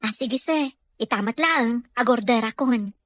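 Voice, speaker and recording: A woman's voice: on the high side, measured pace, close to an everyday voice. A woman playing a caring, respectful physical therapist in an Ilocano radio drama, with a Filipino accent and a polite, deferential manner. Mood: helpful. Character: caring, respectful female physical therapist encouraging her patient.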